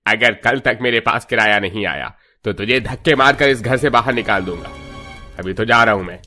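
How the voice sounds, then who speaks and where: Harsh landlord for a cartoon tale: tense, forceful, domineering and scolding, music underneath. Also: male voice, fairly low pitch, normal speed. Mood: angry, threatening. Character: harsh, threatening male landlord demanding overdue rent.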